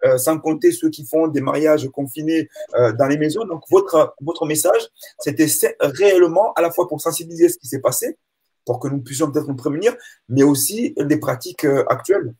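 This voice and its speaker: A man in his forties with a husky, slightly high voice asks a guest long, winding questions. He often pauses to search for words and keeps circling back to rephrase. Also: a little mellow. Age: 40s. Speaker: interviewer.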